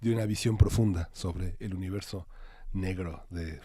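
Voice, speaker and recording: A man's voice: low voice, steady tone, normal speed, dark tone, slightly rough, no room sound, clean studio sound. A man with a Mexican accent co-hosting a magazine show in a conversational presenting style. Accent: Mexican Spanish.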